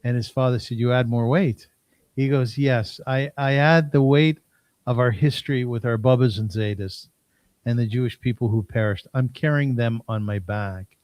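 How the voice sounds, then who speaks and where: Measured male show host, dry close sound. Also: mid-range voice, darkish tone, smooth, no room sound. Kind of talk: storytelling and scripted show introduction.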